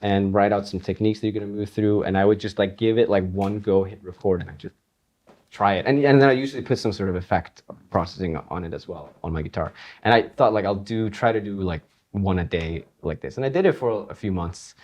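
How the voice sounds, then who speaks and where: A man from Iceland, a guitarist and composer, chatting in an interview in a relaxed, rambling way with frequent laughs. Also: lowish voice, brisk. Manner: relaxed, rambling, laughs often, many fillers. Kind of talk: casual interview chat.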